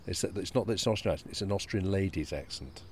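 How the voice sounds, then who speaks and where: Deep-voiced male co-host, measured reader, casual talker. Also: darkish tone, slight background noise. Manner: measured storyteller, chatty and quick in talk. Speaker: podcast co-host. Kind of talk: reading a novel aloud, then casual chat.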